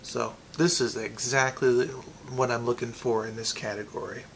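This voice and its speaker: Gravelly man giving a casual product review. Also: medium pitch, steady tone, moderate pace, very noisy.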